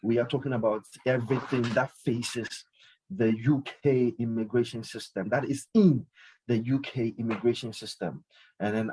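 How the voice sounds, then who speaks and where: A man's voice: mid-range voice, darkish tone, slight background noise, rough recording. A man hosting a live call-in radio show, talking emphatically and often repeating his phrases. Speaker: radio host.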